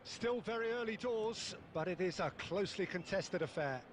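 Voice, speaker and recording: A man calls a football match play by play in a high, carrying voice. He stays measured through build-up and lifts sharply when a chance appears. The capture carries a clear layer of noise underneath. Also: expressive. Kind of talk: scripted match commentary.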